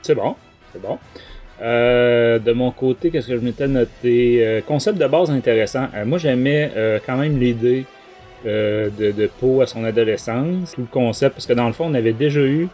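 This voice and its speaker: Mellow-voiced Québécois man chatting. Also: mid-range voice, normal speed, dull audio, soft background music. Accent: Québécois French. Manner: rambling, colloquial, hesitant. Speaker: podcast host and book reviewer. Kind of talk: casual book review chat.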